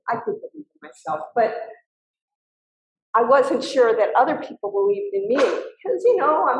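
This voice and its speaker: Older woman speaker, girly voice, fairly high, roomy echo. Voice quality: little girly voice. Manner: reflective, candid, with many fillers. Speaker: guest speaker, retired soil scientist. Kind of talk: personal career story in a talk.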